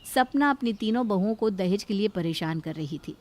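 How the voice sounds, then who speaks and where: A woman's voice: medium pitch, lively intonation, moderate pace, a little mellow. A woman reading scripted narration for a cartoon moral story as its storyteller.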